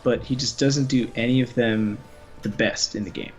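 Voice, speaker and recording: A man's voice: mid-range voice, steady tone, moderate pace, soft background music. A man guest-commentating on a gaming livestream, easygoing and rambling, laughing often between thoughts. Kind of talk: casual gaming livestream chat.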